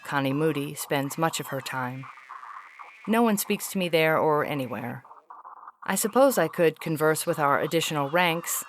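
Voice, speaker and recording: A woman's voice: sits low, a little hiss or hum, lightly acted. A woman playing a formal alien agent in audio fiction, reporting on humans in stiff, clinical, precise phrasing with a faintly weary, homesick temper. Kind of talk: scripted audio fiction reading.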